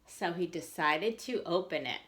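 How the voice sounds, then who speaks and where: A woman reading a picture book aloud to young children in an expressive storyteller's manner, with questions for her listeners. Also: fairly low pitch. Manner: slow and expressive, pausing to ask listeners questions.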